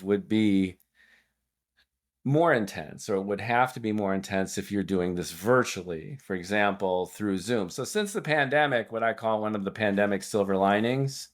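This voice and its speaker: A man's voice: fairly low pitch, normal speed, a bit bright. A man hosting a podcast interview, a native Hungarian speaker who talks in wordy, digressive stretches. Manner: discursive, wordy, prone to digressions. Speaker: podcast host.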